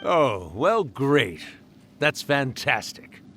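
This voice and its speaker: An actor playing an ancient, fond and wistful spirit storyteller in a video game, with a theatrical, musing delivery. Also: male voice, medium pitch, big pitch swings, drawn-out words, fairly bright tone, faint music behind, heavily acted. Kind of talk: video game character acting.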